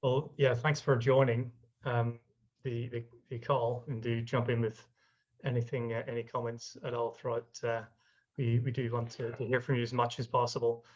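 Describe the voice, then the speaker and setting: Flat-toned male host on muffled video call. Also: medium pitch. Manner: encouraging, measured interviewer. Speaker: podcast host. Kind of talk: podcast interview.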